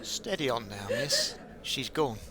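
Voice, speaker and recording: An actor playing a brisk, commanding police inspector from Victorian times in an audio play. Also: male voice, medium pitch, huge pitch swings, bright, forceful tone, heavily acted. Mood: consoling.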